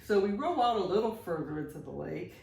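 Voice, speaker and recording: A woman's voice: fairly low pitch, sing-song, brisk, gravelly, darkish tone, a little hiss or hum. A woman in her sixties co-hosting a podcast, telling stories casually with a playful, teasing manner in a roomy recording made at some distance from the mic. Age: 60s. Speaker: podcast host. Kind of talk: casual conversational storytelling.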